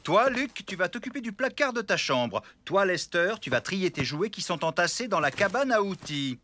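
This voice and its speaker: A man's voice: high-pitched, very animated, moderate pace, pushed and bright, slight background noise, full character voice. An actor voicing a bossy, exasperated elephant father in a cartoon. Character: grumpy tidy elephant father. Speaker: father character. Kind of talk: French cartoon dubbing. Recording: with music underneath.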